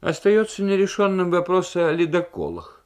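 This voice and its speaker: Casting: stubborn male statesman, played by a male reader, higher-pitched and forceful in delivery, combative, for radio drama reading. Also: sing-song, normal speed. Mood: abrupt. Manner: emphatic, militant, cutting. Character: combative British prime minister, a middle-aged statesman, brisk and stubborn.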